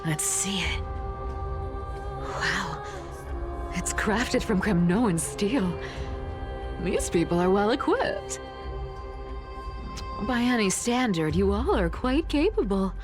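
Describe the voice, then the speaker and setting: A woman's voice: medium pitch, big pitch swings, slow, pauses often. A woman voicing a sly, smug trickster in a video game, theatrical and teasing, with a young adult sound. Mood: mock pity. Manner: teasing, mock-sweet, chuckling asides. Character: sly, smug, playful female trickster with ancient secrets. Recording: music behind the voice. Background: game music.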